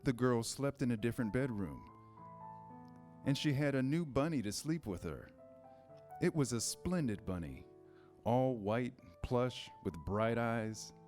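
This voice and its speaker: A man's voice: mid-range voice, expressive, a little slow, darkish tone, soft background music. A man reading scripted storybook narration in a staged reader's theater style.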